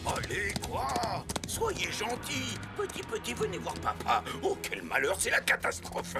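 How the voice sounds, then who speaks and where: A man's voice: sits high, huge pitch swings, unhurried, heavily acted. A man voicing a gluttonous, bumbling, whining army sergeant in a French cartoon, blustering and theatrical. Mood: coaxing, plaintive. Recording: loud music behind the voice.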